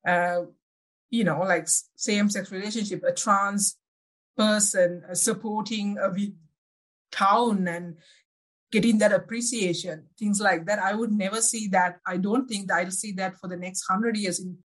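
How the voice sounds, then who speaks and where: A woman's voice: mid-range voice, fairly even intonation, rough recording. Interview guest: woman, Sri Lankan accent, second-language English, mid-range voice, searching for words as she reflects. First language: not English (English is second language). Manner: halting, searching for words, self-correcting. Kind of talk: reflective personal interview answers.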